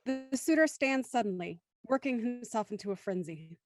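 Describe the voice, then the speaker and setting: A woman's voice: fairly high pitch, lively intonation, moderate pace, no room sound, soft background music, close to an everyday voice. A woman narrating a scripted audio drama as its stage-direction narrator.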